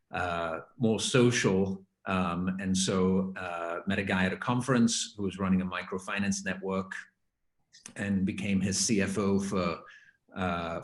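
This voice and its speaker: A middle-aged man from Belgium, guest in a casual interview, talking unhurriedly with frequent restarts. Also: deep voice. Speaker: guest, finance founder. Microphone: video call. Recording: echoey room.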